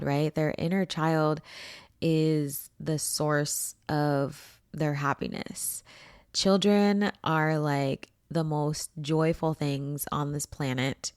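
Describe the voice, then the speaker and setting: Hoarse, low-voiced woman podcast host. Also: a little mellow, no room sound, clean studio sound. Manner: enthusiastic, chatty, with casual swearing. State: hoarse, froggy voice from allergies. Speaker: podcast host and spiritual coach. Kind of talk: casual solo podcast talk.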